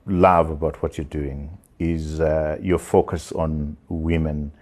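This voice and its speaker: Male interview host, deep, warm tone, slow questions, frequent murmurs. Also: fairly even intonation. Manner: slow, repeats words, many listening murmurs. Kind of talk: interview conversation.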